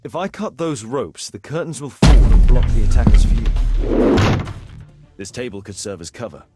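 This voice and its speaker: A man playing a brisk, analytical detective in a video game, confident and commanding, with precise, clipped delivery. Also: mid-range voice, lively intonation, over loud music, close to an everyday voice. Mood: urgent. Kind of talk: dramatic video game acting.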